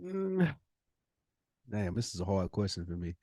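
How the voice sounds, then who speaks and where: A man's voice: fairly low pitch, big pitch swings, measured pace, mellow, no echo, clean studio sound. A man co-hosting a casual podcast conversation, talking in an emphatic, probing way with plenty of slang. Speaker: podcast co-host.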